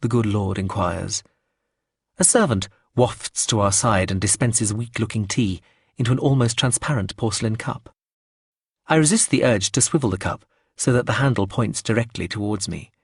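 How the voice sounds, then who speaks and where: Brief: low, husky male narrator, wry and measured, dry close sound. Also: no room sound. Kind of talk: first-person audiobook narration.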